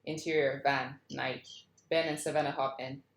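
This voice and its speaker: A woman's voice: a bass voice, steady tone, moderate pace, gravelly. A woman reading screenplay stage directions as a narrator at a table read. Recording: echoey room.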